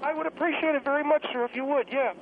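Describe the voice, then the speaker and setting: A man voices a polite young male caller on a comedy radio show. The voice sits high, with a solemn, halting, deferential manner. It comes over a tinny telephone connection. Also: steady tone. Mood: hurt, polite. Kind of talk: comic radio phone-in. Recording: tinny, noisy phone line.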